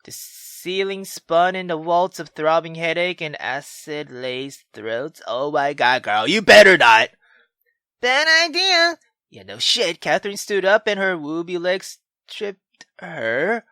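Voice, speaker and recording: A woman hosting a gaming video, reading a visual novel aloud with expressive, casual, sweary commentary. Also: fairly low pitch, slow, dry recording, studio quality. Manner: expressive, swearing, exclamatory asides. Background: faint game music.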